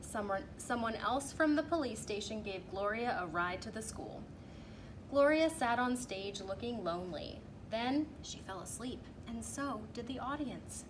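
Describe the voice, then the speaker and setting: A woman reading a children's storybook aloud in an animated, emphatic way, drawing out key phrases. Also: fairly high pitch, measured pace. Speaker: teacher reading a picture book aloud. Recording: clearly noisy background.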